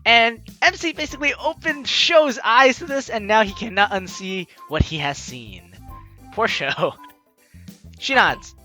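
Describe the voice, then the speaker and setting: A man's voice: high voice, lively intonation, measured pace, sharp tone, faint music behind. A young man hosting a game playthrough, reading dialogue aloud and commenting in a playful, chatty, dramatic way. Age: young adult. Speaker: let's player hosting a game series.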